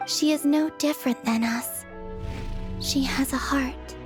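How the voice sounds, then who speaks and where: A woman voicing a serene, wistful young woman with a gentle, dreamy manner in an anime video game. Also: sits high, steady tone, measured pace. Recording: clear music underneath. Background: background music.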